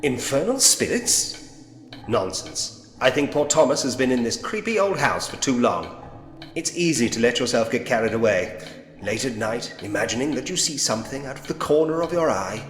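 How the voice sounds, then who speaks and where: An actor playing a sceptical, dryly witty Edwardian gentleman investigator in a point-and-click mystery game. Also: mid-range voice, expressive, normal speed, lightly acted. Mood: dismissive. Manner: wry, muttering to himself, old-fashioned gentlemanly phrasing. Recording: echoey hall reverb, music underneath.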